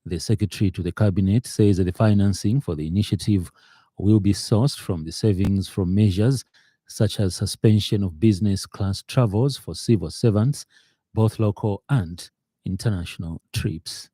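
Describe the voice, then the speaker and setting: Deep, dark-voiced Zambian newsreader. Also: male voice, dry recording, studio quality. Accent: Zambian English. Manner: measured, formal delivery with occasional hesitations. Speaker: radio newsreader. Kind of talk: news bulletin reading. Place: radio studio.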